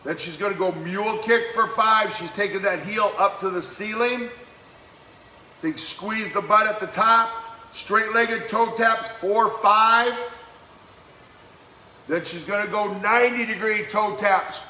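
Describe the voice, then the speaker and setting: A man leading a live-streamed workout as fitness coach, giving brisk, encouraging exercise cues in a slightly hoarse voice that keeps cracking. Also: high-pitched, sharp tone. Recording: echoey room with faint background noise.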